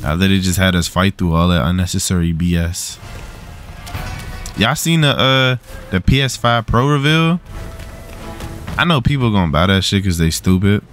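A man's voice: low voice, lively intonation, moderate pace, pauses often, a bit bright. A man giving casual, slangy gameplay commentary, swearing at the game while getting over a cold. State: getting over a cold, slightly rough throat. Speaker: gaming video host. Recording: game music playing behind.

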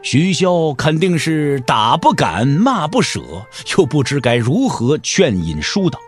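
A man delivers dramatic scripted narration as the storyteller of an audio drama. His voice sits somewhat high and swings widely in pitch, expressive throughout. Faint music plays behind him. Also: measured pace.

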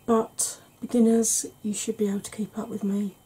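A woman in her forties talks through a makeup tutorial in a soft, hushed ASMR manner, slow and patient. Her voice is warm and mellow, and very breathy, slipping into whispers. She sounds close to a desk webcam in a small room at home. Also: medium pitch, little pitch movement, poor audio. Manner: slow, gentle, patient explaining. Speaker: makeup vlogger.

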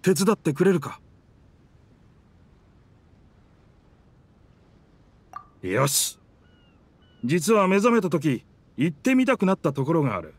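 A man's voice: on the high side, sing-song, moderate pace, husky, no room sound, full character voice. A man voicing a proud talking pirate ship in a video game, with boastful, theatrical, old-fashioned speech. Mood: confident, persuasive. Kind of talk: Japanese video game character dialogue.

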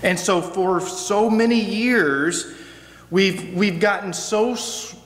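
A middle-aged American man preaches slowly and reflectively in a fairly high voice. He leaves deliberate pauses between phrases, and his words ring with long reverb in a church. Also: fairly bright tone. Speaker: guest preacher. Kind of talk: reflective sermon. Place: church sanctuary, at a pulpit. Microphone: gooseneck pulpit microphone. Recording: echoey hall, noticeable hum.

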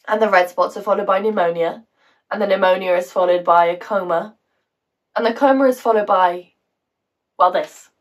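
A woman acting a theatrical monologue with a British accent as a bitter, wounded woman, sardonic, halting and emotionally volatile. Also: medium pitch, distant mic, close to an everyday voice. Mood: bitterly wry. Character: bitter, wounded woman confiding a painful story.

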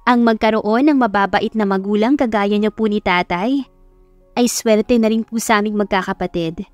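A woman's voice: mid-range voice, normal speed, no room sound, lo-fi sound, natural voice. An earnest, deferential young college woman played by a female narrator in an audio love story. She speaks politely, as a dutiful daughter would. The sound is dry, with faint music behind. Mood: earnest, caring.

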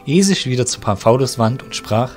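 A man narrating an audio drama in a steady, measured way. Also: mid-range voice, soft background music.

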